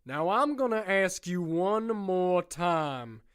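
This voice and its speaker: An actor playing a smug, condescending prison warden who speaks slowly and deliberately in an audio drama. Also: male voice, sits high, big pitch swings, a bit bright, exaggerated voice. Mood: menacing. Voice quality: smooth, condescending, slow and deliberate. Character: smug menacing male prison warden, middle-aged.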